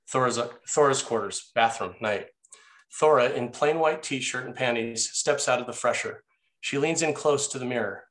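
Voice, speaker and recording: A man's voice: mid-range voice, a bit bright, low-quality recording. A man narrating the scene directions of a screenplay at a table read. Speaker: narrator reading stage directions.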